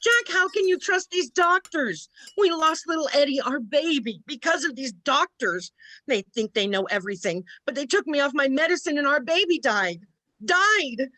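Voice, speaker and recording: Actress as an anxious stubborn mother, highish pitch, sharp bright tone, radio drama. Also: animated, moderate pace, dry recording, low-quality recording. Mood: angry, defiant. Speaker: actor in a college radio play. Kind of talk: scripted radio drama acting.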